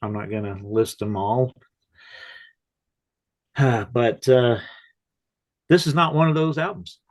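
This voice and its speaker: A man's voice: medium pitch, slow pace. A man co-hosting a casual music chat, tired from no sleep, with dry, self-mocking humor. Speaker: co-host.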